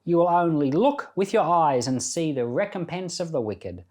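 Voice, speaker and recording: A man's voice: on the high side, animated, normal speed, a little mellow. A man speaking as a pastor on a Bible podcast, reading scripture and offering reflective, informal devotional commentary.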